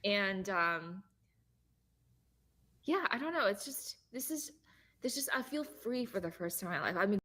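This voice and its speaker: A woman's voice: mid-range voice, lively intonation, measured pace, long pauses, pushed and bright. A woman talking casually and off the cuff as a guest on a show. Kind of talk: casual unscripted talk.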